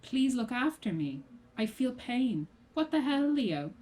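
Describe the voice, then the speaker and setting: A woman's voice: fairly high pitch, sing-song, unhurried, mellow. A woman playing a stern, exasperated factory supervisor in a radio play, reproachful and sarcastic.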